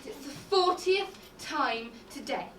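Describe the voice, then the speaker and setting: A woman playing a sharp-tongued, sarcastic housekeeper who scolds her employer. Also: sits high, animated, slow, full character voice. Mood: scolding. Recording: roomy stage sound from far off with noise.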